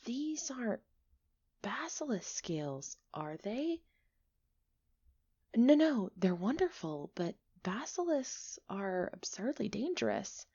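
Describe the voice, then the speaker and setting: A woman playing a friendly, chatty, excitable village alchemist in an ASMR roleplay, expressive and a little flustered, on a slightly dull recording. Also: fairly high pitch, slow, slightly rough, heavily acted. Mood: curious, appraising, then concerned. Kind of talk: ASMR roleplay acting, one-sided conversation.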